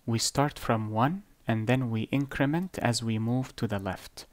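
Give me a man, an Arabic native speaker, explaining math lessons in an unhurried, deliberate, plain-spoken way. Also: mid-range voice, no room sound. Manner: slow, deliberate explaining with blunt asides. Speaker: math teacher. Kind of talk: screen-recorded whiteboard lesson.

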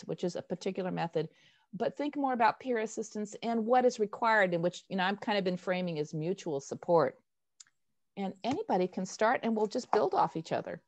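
Older woman facilitating, animated and emphatic. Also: medium pitch. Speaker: host, workshop facilitator. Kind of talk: informal online workshop discussion. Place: indoors on a video call. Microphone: wired earphone headset.

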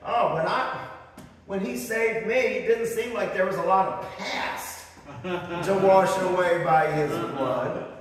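A man's voice: sits high, slow pace, slight background noise. A middle-aged man from the northern United States preaching a fervent sermon, with rising emphasis and storytelling asides. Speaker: pastor. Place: church sanctuary, indoors. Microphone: far camera, speaking at a lectern. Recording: echoey hall recorded from far off.

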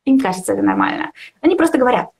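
A woman's voice: sits high, huge pitch swings, rapid-fire, darkish tone. A young woman chatting casually as a language teacher in a live discussion, encouraging and reflective, often searching for words. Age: young adult. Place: indoor room at home, video call.

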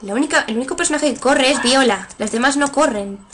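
A young woman with a Spain Spanish accent, giving casual, excitable commentary on a game at her computer. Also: high-pitched, a little fast, a little hiss or hum. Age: young adult. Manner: excitable, laughs often, sudden shrieks. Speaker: let's player. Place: room at a computer.

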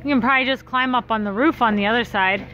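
A woman's voice: on the high side, fast talker. A woman chatting casually to viewers as a farm vlogger, recorded outdoors on a farm with a phone. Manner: relaxed, chatty, addresses viewers directly. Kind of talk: casual vlog narration. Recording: lo-fi outdoor phone audio with loud background noise.

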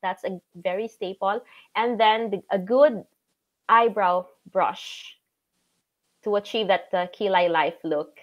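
A woman in her thirties with a Filipino accent and a raspy voice, hosting a live video chat and going over makeup brushes. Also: medium pitch, takes it slowly, muffled recording. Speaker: host of a live show. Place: home room with shelves.

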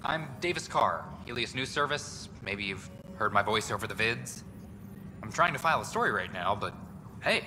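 A man's voice: lowish voice, sing-song, background noise. A man voicing a tired, eager news reporter short on sleep in a sci-fi game. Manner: eager, fast-talking, a little weary. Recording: long reverb, game ambience behind.

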